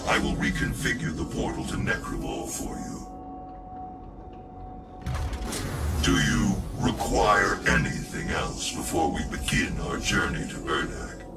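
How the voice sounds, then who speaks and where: A man voicing a solemn, all-knowing armored ally in a video game, speaking in a grave, formal, measured way. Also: on the low side, expressive. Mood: formal, helpful. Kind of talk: dramatic video game dialogue and exposition. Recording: distant voice under loud game music.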